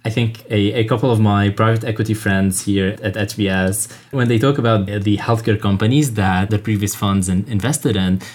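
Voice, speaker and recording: Male podcast co-host, lower voice, eager, chatty. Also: normal speed, few pauses, darkish tone. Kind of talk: conversational interview.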